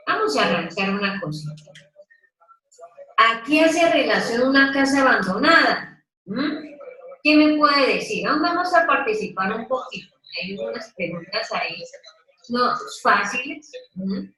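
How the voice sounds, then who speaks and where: A woman's voice: on the high side, bright, forceful tone. A woman leading a Bible study, reading scripture and drawing out her listeners with questions. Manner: slow, questioning, coaxing listeners to take part. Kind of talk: interactive Bible teaching. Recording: echoey room, mic at a distance.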